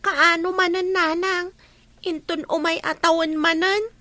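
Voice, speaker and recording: A meek young schoolgirl in a radio drama. The voice is extremely high and bright, timid and plaintive, with an Ilocano accent. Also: flat delivery, unhurried, dry recording, full character voice. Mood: worried, subdued. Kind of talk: Filipino radio drama acting.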